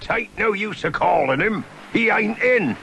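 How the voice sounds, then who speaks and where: Gravel-voiced old sailor for a kids' cartoon, slow, lively pitch, salty sea sayings, music underneath. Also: male voice, slight background noise, full character voice. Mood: cheerful. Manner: salty nautical exclamations, chuckling. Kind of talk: children's stop-motion cartoon.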